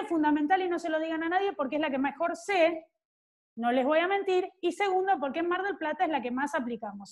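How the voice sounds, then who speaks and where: A woman in her forties with an Argentine accent, giving an online law class in a chatty way, joking with students by name. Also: high voice. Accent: Argentine Spanish. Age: 40s. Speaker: law lecturer.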